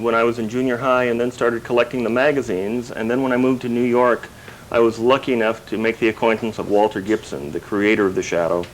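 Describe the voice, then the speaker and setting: Knowledgeable male collector, slightly noisy tape. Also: medium pitch. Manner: knowledgeable, precise, explanatory. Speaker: collector being interviewed. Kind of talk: informative interview answers. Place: his house, indoors. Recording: noisy 1990s TV broadcast tape.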